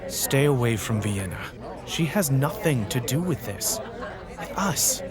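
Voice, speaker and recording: A man's voice: mid-range voice, lively intonation, measured pace, natural voice. A man playing a shifty, defensive pony petty crook in an audio drama, with an evasive, pleading way of talking. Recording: music and crowd murmur behind.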